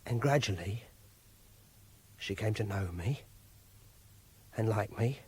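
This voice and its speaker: An actor playing an obsessive, lonely, self-pitying English clerk, confiding haltingly in a first-person radio drama monologue. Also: male voice, lowish voice, a little mellow, breathy edge, heavily acted. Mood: dreamy, obsessive.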